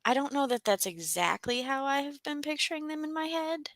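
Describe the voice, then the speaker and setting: A woman's voice: high-pitched, brisk, fairly bright tone, dry recording, studio quality. A woman co-hosting a casual book discussion, talking conversationally and thinking aloud as she goes.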